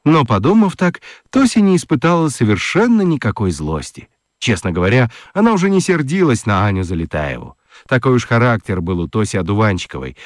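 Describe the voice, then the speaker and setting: A man narrating a children's radio play in a gentle, very expressive storytelling manner. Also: medium pitch, no room sound, clean studio sound.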